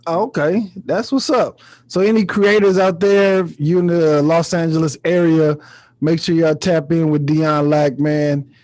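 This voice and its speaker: A man's voice: a little high, fairly even intonation, unhurried, a little mellow. A man hosting a podcast in casual chat, very informal and slangy, swearing freely. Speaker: podcast host.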